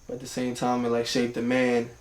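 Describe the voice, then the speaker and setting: Young male guest, animated storyteller, drifts onto tangents, echoey room. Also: mid-range voice, fairly quick. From Turkey, raised in Michigan and New York. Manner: slangy, rambling, goes on tangents, laughs often. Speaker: guest, hip hop artist. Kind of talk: casual interview chat. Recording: roomy room echo.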